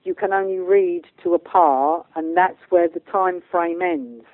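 A woman's voice: on the low side, fairly even intonation, a little slow, no room sound. British woman on a phone-like line. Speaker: guest, spiritual medium and channeller. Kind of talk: radio interview talk.

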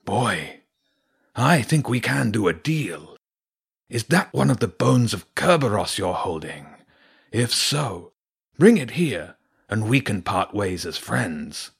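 A man voicing a large grey wolf villain in a storybook, confident and taunting with mock-friendly, unhurried menace. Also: lowish voice, very animated, gravelly, husky, heavily acted. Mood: coaxing, sly. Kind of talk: audiobook character voice.

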